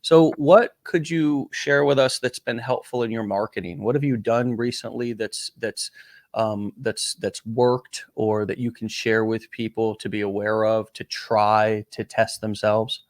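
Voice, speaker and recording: A man's voice: lowish voice, fairly even intonation, unhurried, no echo. Middle-aged guy hosting a podcast, rambles and restarts a lot, dry close sound. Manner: rambling, repeats words while searching for phrasing. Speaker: podcast host. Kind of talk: conversational interview.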